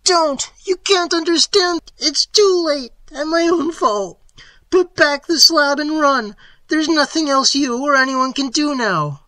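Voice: sits high, expressive, measured pace, sharp tone, no room sound, pitched above the performer's own voice, exaggerated voice, rough recording. A storyteller playing a terrified, despairing man trapped underground, pleading frantically in boyish slang. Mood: screaming despair.

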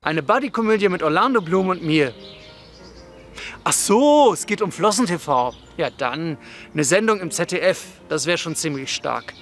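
A man's voice: on the high side, lively intonation, moderate pace, a bit bright. A man from northern Germany talking to camera as a crew member, with a dryly joking manner. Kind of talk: self-introduction and short answers to camera. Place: outdoors in a park. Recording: music in the background.

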